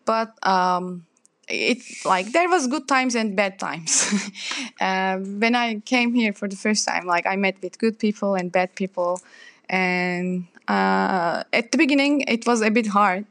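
A woman's voice: mid-range voice, measured pace, a bit bright, no echo. A young woman chef with a Turkish accent, speaking English as a second language in a casual interview, hesitant with many fillers and light laughs. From Turkey.

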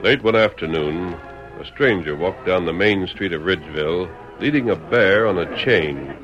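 A man's voice: low-pitched, moderate pace, over music, lightly acted. A man with an American accent acting as a grand, declamatory narrator of an old radio western serial. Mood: storytelling. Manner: theatrical, declamatory. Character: grand, dramatic announcer of a western adventure serial. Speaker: announcer and narrator. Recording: dull, noisy vintage radio recording.

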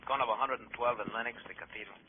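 An actor playing a taunting young fugitive in a radio crime drama, quietly insolent through a telephone filter. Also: male voice, mid-range voice, steady tone, very fast. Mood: taunting, cool. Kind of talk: old-time radio crime drama acting. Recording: noisy, narrow old radio recording.

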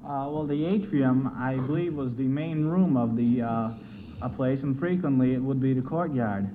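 A man's voice: medium pitch, moderate pace. Old phone-like radio with faint hiss, guy contestant answering carefully, kinda formal. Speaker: quiz show contestant. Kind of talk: hesitant, thoughtful answering. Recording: muffled tinny vintage radio, faint noise.

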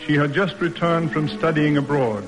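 A man plays a proper, reserved middle-aged father in an old American radio drama. His voice sits a little high and rings in a long reverb, with music behind it. He picks his words formally and takes the long way round. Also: moderate pace, natural voice. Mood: reflective narrating. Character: stiff, proper, reserved father in period New England.

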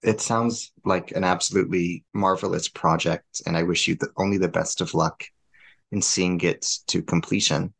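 A man's voice: low voice, fairly even intonation, moderate pace, gravelly, fairly bright tone, husky, no echo. A man hosting a podcast interview, earnest and effusive with his thanks. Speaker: podcast host.

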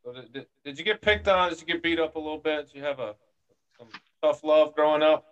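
A man's voice: a little high, quick pace, fairly bright tone, clean tone, lo-fi sound. A man hosting an interview, asking questions in an upbeat, chummy way with frequent fillers. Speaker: interview host. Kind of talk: casual interview.

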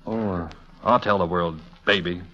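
A man's voice: low-pitched, normal speed, heavy background noise, lightly acted. Hesitant, evasive radio-drama bachelor, played by a man. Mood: uneasy, evasive. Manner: hesitant, noncommittal, stammering. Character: evasive, easygoing bachelor posing as a gardener. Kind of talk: 1940s radio acting. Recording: dull vintage radio recording.

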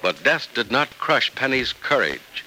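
A male announcer reads in polished 1940s radio style. His mid-pitched voice is very sharp and swings widely in dramatic delivery. The recording carries faint old noise. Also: big pitch swings, measured pace, low-quality recording. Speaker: announcer and narrator. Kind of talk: 1940s radio scripted narration and ad reading.

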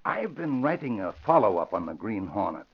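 A muffled vintage radio recording with some hiss carries a proud, eager old American father. His voice is on the low side and very animated, with emphatic, folksy turns in an old radio drama style. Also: brisk, slightly rough, heavily acted. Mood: earnest, proud. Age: older. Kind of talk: 1940s radio acting.

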